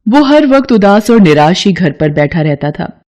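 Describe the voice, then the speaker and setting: A woman's voice: medium pitch, very animated, moderate pace, a little mellow. A woman narrating a scripted moral tale as a storyteller.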